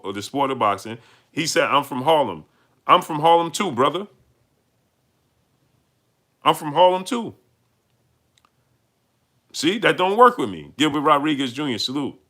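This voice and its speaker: A man's voice: fairly high pitch, sharp tone. A man with a New York accent hosting a live stream, greeting viewers and reading out their super chats. He talks emphatically, repeats himself and builds to heated peaks. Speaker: livestream host.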